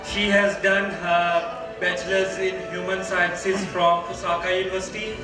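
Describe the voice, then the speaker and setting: A man's voice: sits high, level tone, measured pace, reverberant, recorded from a distance, over music, low-quality recording. A man with a Pakistani English accent moderating a conference panel, reading out speaker bios in a playful, loose way. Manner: playful, reads bios with fillers.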